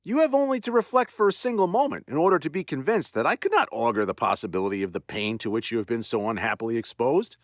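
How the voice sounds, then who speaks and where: A man's voice: mid-range voice, animated, quick pace, hardly any pauses, no echo, studio quality, voice pushed up, close to an everyday voice. A man voicing an aristocratic lord, earnest and apologetic, with formal old-fashioned speech.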